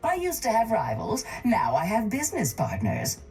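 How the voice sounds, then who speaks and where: An actress voicing a cold, calculating, wealthy woman crime baron in a video game, smug, measured and condescending. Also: mid-range voice, wildly swooping pitch, a little mellow, slightly rough, soft background music, full character voice. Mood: cool, calculating.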